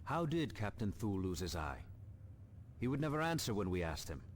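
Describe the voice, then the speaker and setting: An actor playing a younger, curious space marine in a video game, earnest and questioning. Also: male voice, lowish voice, sing-song, fairly quick, slight background noise.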